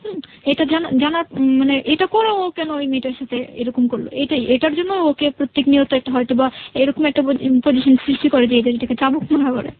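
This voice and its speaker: A woman's voice: sits high, a little fast, narrow, tinny audio. A young woman with a Bangladeshi accent, a guest telling her personal story conversationally and quoting reported dialogue.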